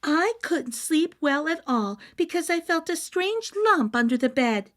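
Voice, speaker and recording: A woman's voice: high voice, expressive, unhurried, pitched above the performer's own voice. A woman voicing a young, girlish, matter-of-fact princess in a fairy tale. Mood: complaining.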